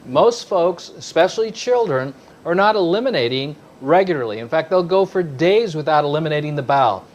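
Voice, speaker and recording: A man's voice: a little high, a little hiss or hum. A man giving an explanatory health talk emphatically, asking questions and answering them himself. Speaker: health speaker.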